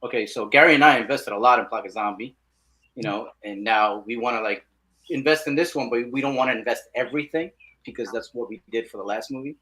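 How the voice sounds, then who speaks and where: Fast-talking man, informal chat. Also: medium pitch, bright, forceful tone, low-quality recording. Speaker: independent filmmaker, podcast guest. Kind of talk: casual chat.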